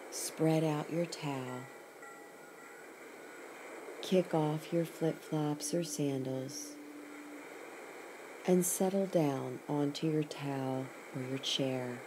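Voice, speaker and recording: A woman with a notably low voice leads a scripted guided meditation. She speaks very slowly and soothingly, setting each short phrase apart with a pause. Clear ambient noise runs through the recording. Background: ocean sounds.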